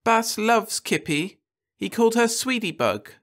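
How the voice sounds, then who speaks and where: A man's voice: high-pitched, big pitch swings, measured pace, a bit bright, pitched above the performer's own voice, heavily acted. A man voicing a sulky, whiny nineteen-year-old girl who wails and pleads. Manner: petulant, wailing.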